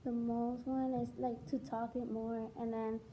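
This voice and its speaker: A woman's voice: fairly high pitch, little pitch movement, measured pace, mellow, slight background noise, low-quality recording. Hesitant girl. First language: Spanish. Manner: hesitant, searching for words. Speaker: student interviewee. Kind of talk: school interview.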